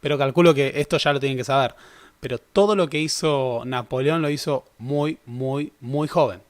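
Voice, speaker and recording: A man's voice: mid-range voice, normal speed. A young man giving a casual, conversational history lecture. He corrects himself often and adds joking asides. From Argentina. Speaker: history teacher.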